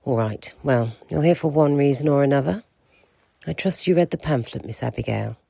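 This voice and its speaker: A man acts out an aloof keeper of a house of monsters for an audio drama. The voice is heavily creaky and very husky, formal and curt. It sits in a slightly dull, roomy recording. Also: mid-range voice, moderate pace. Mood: formal, guiding. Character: aloof, pompous male keeper of an institute for monsters.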